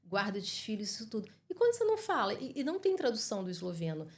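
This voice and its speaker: A woman's voice: medium pitch, wildly swooping pitch, rapid-fire. A Brazilian woman in her forties with a Brazilian Portuguese accent, a psychologist giving earnest, explanatory interview answers and often adding tag questions. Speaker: guest psychologist.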